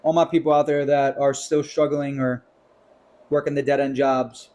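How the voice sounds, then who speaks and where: A man's voice: medium pitch, flat delivery, moderate pace, darkish tone. A young man from New York on a casual podcast, ranting animatedly about money and swearing often. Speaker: podcast co-host.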